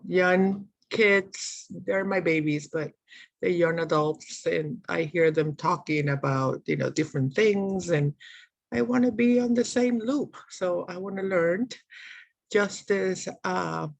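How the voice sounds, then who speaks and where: A woman's voice: on the low side, takes it slowly, gravelly, no room sound. A woman who is a native Spanish speaker introducing herself on a group video call, chatty and loose, in short broken phrases. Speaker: community worker.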